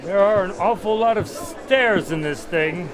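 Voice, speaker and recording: A man's voice: high-pitched, unhurried, background noise. A man playing a skeptical doctor and detective's sidekick in an audio drama, questioning and mildly exasperated. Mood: puzzled.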